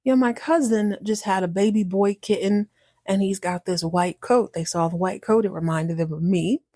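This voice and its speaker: A sly storybook tomcat, acted out by a woman storyteller with a mellow tone. He talks in glib, excitable slang and gets defensive easily. The sound is dry, without room echo. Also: medium pitch, normal speed, close to an everyday voice. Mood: excited, coaxing. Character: sly male cat, breezy, defensive fibber. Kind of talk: casual storybook character dialogue.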